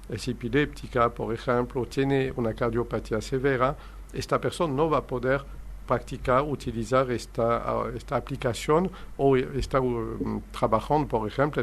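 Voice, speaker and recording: Older man, non-native Spanish speaker. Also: mid-range voice. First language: not Spanish. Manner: earnest, explanatory, non-native Spanish phrasing. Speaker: hypnotherapist guest. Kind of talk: interview answers, lecturing.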